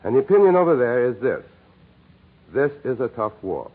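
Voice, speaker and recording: An American man giving an earnest, scripted oratorical radio address as a war correspondent, speaking in measured, emphatic phrases. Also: mid-range voice, sing-song. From United States. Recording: muffled, narrow-band 1940s radio broadcast.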